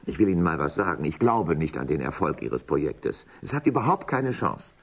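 An actor playing a capricious, cynical, world-weary official in a science fiction radio drama, moody, rambling and muttering, with a gravelly, husky voice. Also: male voice, low voice, big pitch swings, a little fast, exaggerated voice. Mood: resigned. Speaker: high-ranking boss.

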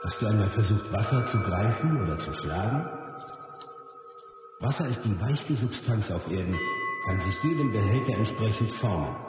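A man's voice: lowish voice, animated, normal speed, close to an everyday voice. An actor playing a confident, blunt, philosophical martial arts master telling his story in a radio play. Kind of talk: scripted first-person narration in a radio play. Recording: loud music under the voice. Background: music.

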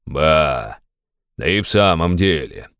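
A man voicing a gruff, bossy, impatient visitor who grumbles and bellows in an audiobook of a classic novel. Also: extremely low pitch, big pitch swings, slow pace, a bit bright, no echo, studio quality, full character voice. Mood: surprised, grudging.

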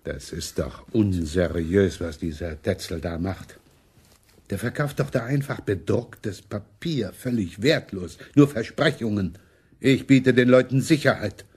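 A man's voice: lowish voice, unhurried, a little mellow, slightly rough. A man acting a money-minded, imperious German prince in a radio play, sardonic and complaining in manner. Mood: aggrieved.

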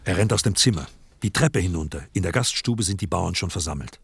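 Ein Mann als Erzähler eines Hörspiels, der bedächtig und dramatisch eine Dorfgeschichte vorträgt. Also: male voice, deep voice, expressive, gravelly, a bit bright, slight background noise, heavily acted. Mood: brooding, suspenseful. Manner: slow, deliberate, dramatic. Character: grave male storyteller of a village tale. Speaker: narrator. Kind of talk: radio play narration.

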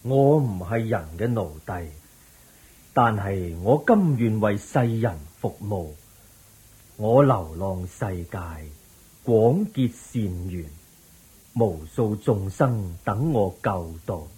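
The Buddha as a serene, gentle teacher who speaks very slowly and calmly. His voice is low, mellow and very rough in texture, set in an old radio play. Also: heavily acted. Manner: slow, calm and serene. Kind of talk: 1980s Cantonese radio drama.

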